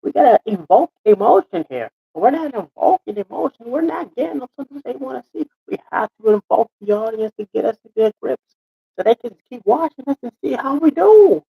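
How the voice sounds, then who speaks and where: A man voicing an excitable, bossy and earnest comedy sketch character who stammers and repeats himself insistently. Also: very high voice, a little fast, gravelly, husky, voice pushed up, heavily acted, rough recording. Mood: indignant insistence.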